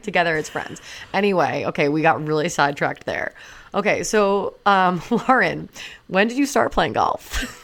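Young woman co-host, deep for her, rambling and self-deprecating. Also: animated, moderate pace, vocal fry, fairly bright tone. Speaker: podcast co-host. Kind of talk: casual podcast chat.

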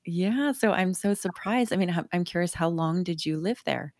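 A woman in her thirties from South Africa hosting an interview podcast, reading a measured introduction and then chatting with her guest. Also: lowish voice, darkish tone, no room sound, studio quality. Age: 30s. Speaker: podcast host.

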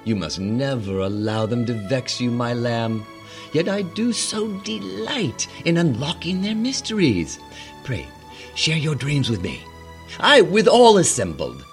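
An actor playing a suave, condescending gentleman villain in a theatrical fairy-tale radio drama, with a courtly, archaic delivery. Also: medium pitch, big pitch swings, measured pace, faint music behind. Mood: courtly, fond.

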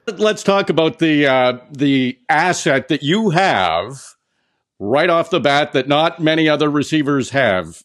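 Male sports show host, slightly high voice, conversational interviewer, occasional hesitant pauses. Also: animated, fairly bright tone.

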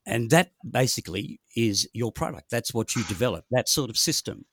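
A man's voice: medium pitch, lively intonation, normal speed, breathy edge, dry recording, studio quality. A man, asking prepared questions as an interviewer.

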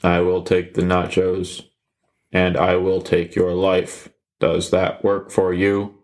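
A man voicing a deadpan, literal-minded killer who talks in a flat, robotic monotone. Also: low-pitched, slow. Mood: flat menace.

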